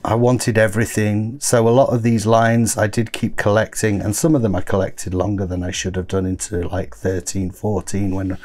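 A man in his thirties with a croaky voice from a cold, chatting casually while unboxing toys at his desk. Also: on the low side, darkish tone. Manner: rambling, self-correcting. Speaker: toy collector vlogger. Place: home desk. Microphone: overhead camera, hands only.

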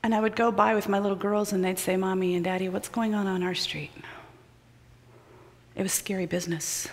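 A middle-aged woman preaching a sermon built on personal stories, shifting between loud urgency and hushed near-whispers. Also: mid-range voice, level tone, a little fast. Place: large church sanctuary with choir loft. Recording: long hall reverb of a large sanctuary.

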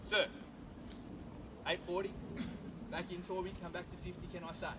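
An Australian man in his thirties working as an auctioneer, running a live property auction with brisk, persuasive sales patter on a suburban street. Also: sits high, level tone. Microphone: far camera, no microphone. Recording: distant outdoor camera audio, loud street noise.

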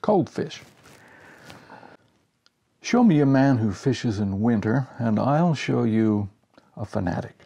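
Husky, gravelly man reading aloud. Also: mid-range voice, lively intonation, slow, pauses often, mellow. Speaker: story reader. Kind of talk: expressive reading of a humorous story. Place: small home office, indoors.

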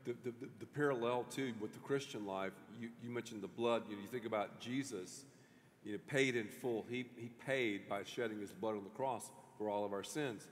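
A middle-aged man, a pastor, interviewing a guest on a church stage in an animated way, with many restarts. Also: lowish voice, a little fast. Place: large church stage before an audience. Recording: long hall reverb.

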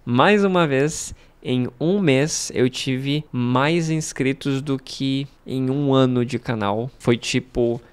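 Animated Brazilian man in his thirties. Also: mid-range voice, slow, clear, resonant tone. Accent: Brazilian Portuguese. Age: 30s. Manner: animated, gestures a lot with both hands, laughs between phrases. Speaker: language teacher and channel host. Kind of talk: casual talking-head vlog. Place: home room with bookshelves. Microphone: studio mic on a stand in frame.

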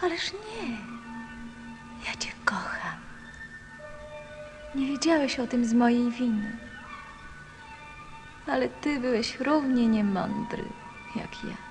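High-voiced, capricious rose. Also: female voice, lively intonation, slow, pauses often. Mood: tender, regretful. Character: vain, capricious talking rose, proud and tender. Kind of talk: radio drama acting. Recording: music behind the voice. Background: music.

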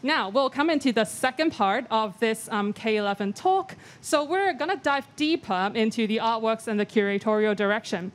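A woman's voice: on the high side, animated, slight background noise. A young woman moderating a panel talk in a large gallery, speaking politely into a handheld mic and reading from notes. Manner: polite, measured, reads from notes.

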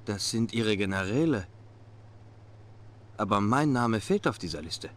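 An actor playing a dutiful middle-aged Soviet general in a game cutscene, speaking in clipped military phrases. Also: male voice, fairly low pitch, expressive, unhurried, fairly bright tone. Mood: uneasy. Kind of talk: dramatic game cutscene acting.

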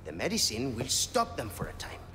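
A man's voice: mid-range voice, huge pitch swings, fairly quick, noisy background. An actor playing an earnest, charming scientist in his thirties with a theatrical, anguished manner in a video game. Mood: earnest, pleading. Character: impassioned charming male scientist, anguished and restless. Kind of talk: video game cutscene acting.

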